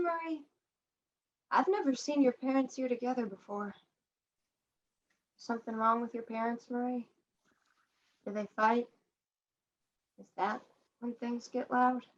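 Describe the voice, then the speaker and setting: A young woman playing a kindly older museum janitor in a student stage play, chatty and rough-edged, with a folksy, halting way of talking. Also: a little high, a bit bright, dull audio. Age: young adult. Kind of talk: student one-act stage play acting.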